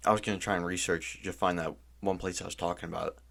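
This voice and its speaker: A man's voice: deep voice, steady tone, fast, creaky, fairly bright tone. A man co-hosting a casual podcast chat with short interjections. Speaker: podcast co-host.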